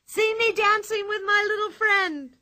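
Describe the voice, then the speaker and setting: A bold, mischievous little girl from a storybook, played by a woman reader, with a high, bright voice. She is cheeky and fearless, playfully exclaiming and swooping widely in pitch. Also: sing-song, normal speed, no echo, clean studio sound, exaggerated voice. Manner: playful, exclaiming, mock-polite. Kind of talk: storybook character voice.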